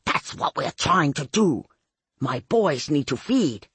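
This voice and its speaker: A woman voicing a blunt, belligerent, streetwise male vampire sidekick with a husky, complaining delivery in an audiobook. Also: low-pitched, sing-song, takes it slowly, a bit bright, dry recording, full character voice. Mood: grumbling.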